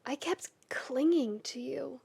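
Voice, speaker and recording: A woman voicing an anxious, ashamed young man who confesses haltingly in hushed whispers, in an audiobook-style drama. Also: high voice, gravelly, pitched above the performer's own voice, heavily acted. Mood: ashamed, anguished.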